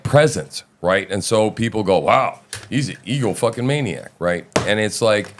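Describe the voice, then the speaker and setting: Low-voiced, profane talk host. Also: male voice, noisy background. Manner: profane, emphatic, keeps checking in with "right?". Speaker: host of a live audio show. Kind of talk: casual rambling talk.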